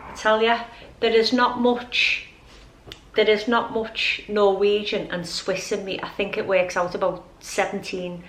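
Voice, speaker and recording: A woman's voice: medium pitch, poor audio. A middle-aged woman chatting informally and ramblingly to camera as a lifestyle vlogger. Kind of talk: casual chatty vlog to camera. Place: at home.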